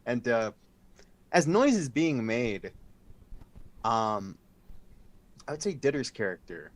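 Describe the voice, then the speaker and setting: A man narrating a tabletop role-playing game as dungeon master, describing scenes in a slow, descriptive way. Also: medium pitch, very animated.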